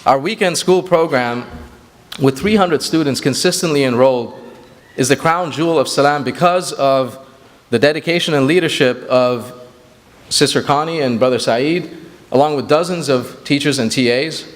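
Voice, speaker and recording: Man, grew up in Sri Lanka, earnest speech at a community banquet, mid-pitched, long hall reverb. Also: normal speed. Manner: earnest, with frequent fillers and restarts. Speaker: executive director.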